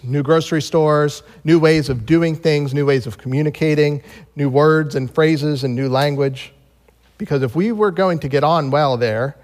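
A man's voice: fairly high pitch, a little mellow. An American man preaching as a pastor, moving between scripture reading and personal storytelling. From United States. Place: large reverberant room, speaking to a congregation. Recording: long hall reverb.

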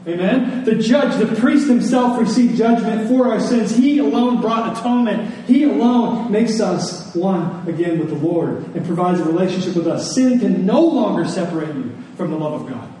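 In a very echoey room, heard from a distance, a male pastor preaches in a mellow, fairly high voice. He leans on repeated phrases for emphasis and slips into conversational questions to the congregation. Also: expressive, normal speed, hardly any pauses. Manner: conversational asides and rhetorical questions. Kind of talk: church sermon. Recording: echoey room, distant mic, faint noise.

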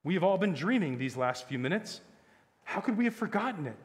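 A man's voice: sits high, big pitch swings, a little fast, big-room sound, pitched above the performer's own voice. A man playing an earnest, courtly prince in a children's fantasy audiobook, speaking with formal, archaic politeness and emphasis. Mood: relieved, rousing.

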